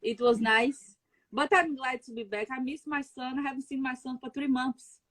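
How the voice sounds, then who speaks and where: A high-voiced woman with a Brazilian Portuguese accent, talking over a video call in a dry, close sound. She chats freely, laughs a lot and sometimes hunts for the right word in English, her second language. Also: steady tone, moderate pace, fairly bright tone, dry recording. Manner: chatty, laughs often, searching for words. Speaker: guest chef. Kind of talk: casual video-call chat.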